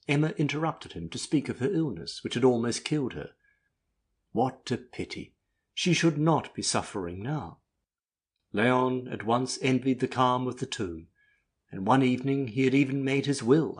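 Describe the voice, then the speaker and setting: Husky male literary narrator. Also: medium pitch. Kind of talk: scripted literary audiobook narration.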